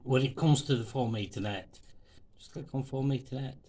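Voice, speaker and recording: A man presenting an informal amateur radio tutorial in a halting, step-by-step way. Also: mid-range voice, dark tone.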